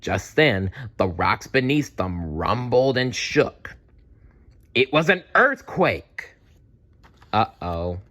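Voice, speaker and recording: A man reading a children's storybook aloud in an expressive, unhurried way, adding small asides. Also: medium pitch. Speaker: children's book read-aloud narrator.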